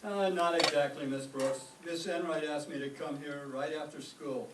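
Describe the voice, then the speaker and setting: A man's voice: on the high side, steady tone, normal speed, lightly acted. A man playing a mild, earnest teacher in a radio comedy. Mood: mild, awkward. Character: mild, earnest, oblivious male teacher, Mister Boynton. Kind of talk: old-time radio comedy acting. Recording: echoey room, distant mic, clear noise.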